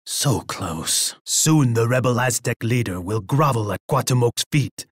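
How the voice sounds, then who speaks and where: A husky, rough-edged Aztec emperor in a video game, proud and bloodthirsty. He declaims with imperious pomp at a middling pitch, recorded very dry and close. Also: animated, measured pace, no room sound. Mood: menacing, boastful. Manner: pompous, declamatory, speaks of himself in third person. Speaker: game character voice actor.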